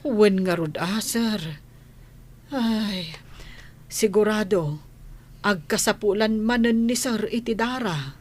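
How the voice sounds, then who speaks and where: A woman's voice: medium pitch, slow, long pauses, darkish tone. A woman playing a dutiful, worried nanny in a radio drama, deferential, with an Ilocano accent. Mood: respectful, anxious. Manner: deferential, anxious. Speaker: household maid. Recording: noisy radio drama recording.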